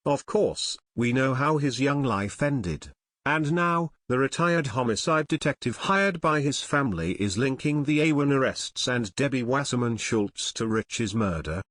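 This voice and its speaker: A man's voice: mid-range voice, lively intonation, a little slow, a bit bright, no echo, studio quality. A man reading scripted political commentary as a news narrator, with a dramatic, insinuating delivery.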